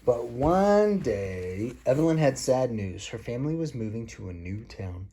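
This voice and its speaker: A man's voice: mid-range voice, big pitch swings, unhurried, dark tone. A man reading a picture book aloud to a child as a father, explaining things simply along the way. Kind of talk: picture book read-aloud with casual asides. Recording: faint background noise.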